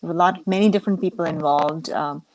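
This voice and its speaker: Low-voiced, encouraging woman interviewer. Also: quick pace, darkish tone, dry recording, poor audio. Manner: encouraging, frequent backchannels and repeats. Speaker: interview host. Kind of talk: casual conversational interview.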